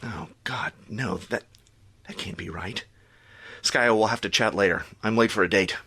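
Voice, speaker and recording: A man's voice: lowish voice, normal speed, vocal fry, sharp tone, breathy edge, full character voice. A man playing a middle-aged superhero in an audio drama, reassuring but weary and wryly self-deprecating. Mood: wry dismay.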